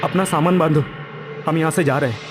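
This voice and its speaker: A man playing a frightened young man in an animated horror story. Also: on the high side, quick pace, close to an everyday voice. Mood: urgent, shaken. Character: frightened young man fleeing a haunted hotel. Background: music.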